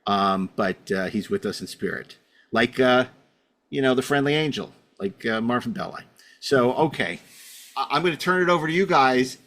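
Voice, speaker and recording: A man's voice: medium pitch, animated, normal speed, fairly bright tone. A middle-aged man hosting a podcast, introducing things casually in a rambling, wry, self-deprecating way. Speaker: podcast host.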